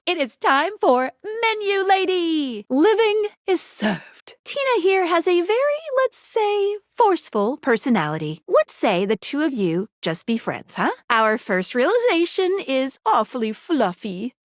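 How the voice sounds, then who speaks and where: A woman's voice: high-pitched, big pitch swings, unhurried, hardly any pauses, no room sound, studio quality. A woman voicing a perky, quirky, teasing young female guide in a video game, with a bubbly, playful, exaggerated manner. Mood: playful, cautioning. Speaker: tutorial guide in a dating simulator game. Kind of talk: video game character voice lines.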